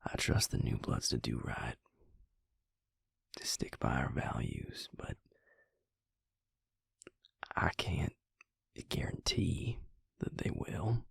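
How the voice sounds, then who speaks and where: Man voicing a reflective male vampire, very low pitch, slow halting pace, husky whisper, intimate roleplay audio. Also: sing-song, no echo, studio quality, full character voice. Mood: uneasy, confiding. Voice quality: husky, breathy, gravelly whisper. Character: brooding male vampire maker confiding in his mate.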